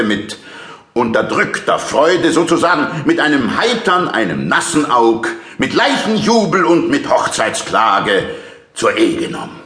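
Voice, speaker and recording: An actor playing a pompous middle-aged king in a classical audio drama, declaiming smoothly and deliberately. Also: mid-range voice, fairly bright tone, echoey room. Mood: solemn, self-satisfied.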